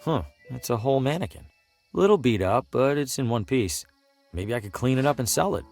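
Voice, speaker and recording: Man acting curious, wry salesman, animated pitch. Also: a little fast, faint music behind, lightly acted. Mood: wry musing. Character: curious, hesitant male traveling salesman, wry and bluffing. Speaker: protagonist character. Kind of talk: video game voice acting, dialogue and musing asides.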